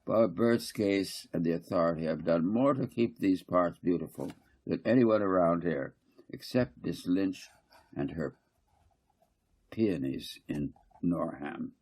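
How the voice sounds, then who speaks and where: A man's voice: low voice, measured pace, darkish tone. A man reading a story, voicing a blunt, scornful small-town councillor who is dismissive and plain-spoken.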